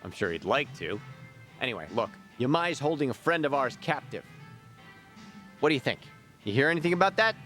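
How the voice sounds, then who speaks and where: An actor playing a streetwise, easygoing ex-gangster guide in a video game, explaining things in casual slang. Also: male voice, mid-range voice, sing-song, a little fast, long pauses, a bit bright, soft background music. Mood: coaxing. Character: streetwise, easygoing male gang deserter and local guide in a game.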